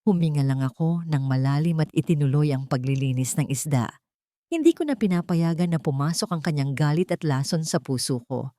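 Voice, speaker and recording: A woman reading a scripted first-person sentimental story in a measured, dramatic storytelling manner. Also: sits low, animated, dark tone, no echo.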